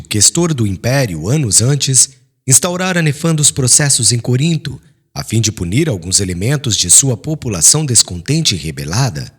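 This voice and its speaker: A man narrates a literary audiobook in a husky voice. His delivery is solemn and dramatic, with pitch that rises and falls expressively through each sentence. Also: a bit bright.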